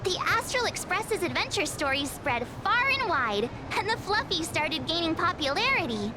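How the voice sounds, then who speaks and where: A woman playing a cheerful, excitable young girl traveler in a video game, with a bubbly, chatty and expressive delivery. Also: extremely high pitch, moderate pace, noisy background.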